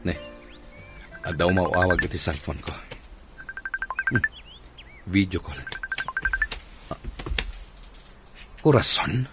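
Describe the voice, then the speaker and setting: A man's voice: deep voice, lively intonation, slow, long pauses, over loud music. A man with a Filipino accent playing a stern, troubled husband in a radio drama. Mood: surprised.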